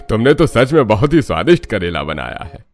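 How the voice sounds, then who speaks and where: An actor playing an older father-in-law in a cartoon family drama, paternal, emphatic, kindly but firm. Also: on the low side.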